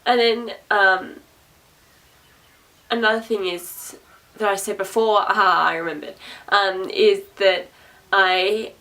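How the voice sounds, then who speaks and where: A young adult woman talks casually to camera in a loud, projecting voice. She is sarcastic and chatty, repeats herself and laughs often. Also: medium pitch, fairly even intonation, takes it slowly, long pauses. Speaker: vlogger. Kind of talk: rambling casual talk to camera. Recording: voice a little distant from the mic.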